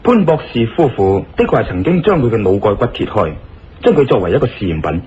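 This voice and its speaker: A man's voice: fairly low pitch, sing-song, quick pace, darkish tone, muffled recording, a little hiss or hum. A man acting an assured, reassuring investigator in a Cantonese radio drama. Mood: calm.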